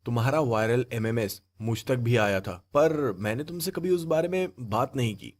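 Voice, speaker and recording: Guy doing a young husband in an audio drama, bitter but holding it in, measured and reproachful. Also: medium pitch, expressive, natural voice. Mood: hurt, restrained. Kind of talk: acted Hindi audio story dialogue.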